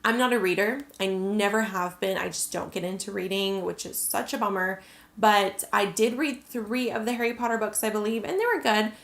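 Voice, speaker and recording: A woman's voice: mid-range voice, normal speed, creaky, roomy sound. A young adult American woman vlogger chatting casually to camera, answering questions with lots of "like" and "um". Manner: chatty, many "like" and "um" fillers.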